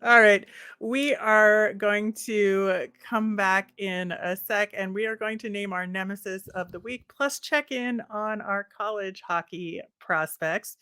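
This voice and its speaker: A woman co-hosts a sports podcast in a conversational commentary style. Her voice sits at a middle pitch for a woman. The sound is dry and close, with little room in it. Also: fairly even intonation, slow, hardly any pauses, bright, forceful tone, smooth, dry recording.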